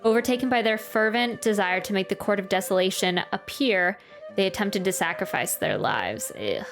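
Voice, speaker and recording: A woman's voice: medium pitch, expressive, normal speed. A woman streaming a game playthrough, reading text aloud and reacting with casual commentary. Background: faint game music.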